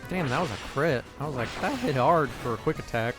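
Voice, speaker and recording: A young man hosting a let's play with casual commentary, his voice a little off from allergies, who swears and rants in sudden excited bursts. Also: mid-range voice, fairly quick, music in the background. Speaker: gaming video host.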